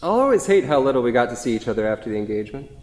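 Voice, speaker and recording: Male reader as devoted, self-deprecating husband, wistful and conversational, mid-pitched, stage reading in a reverberant hall. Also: sing-song, very fast, darkish tone, close to an everyday voice. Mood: wistful, tender. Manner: reminiscing, conversational.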